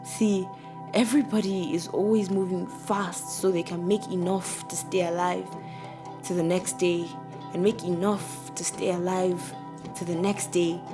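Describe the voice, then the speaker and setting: A woman with a Nigerian accent speaks reflectively and slips into Pidgin. Her voice sits fairly low, and music plays clearly behind her. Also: little pitch movement, measured pace.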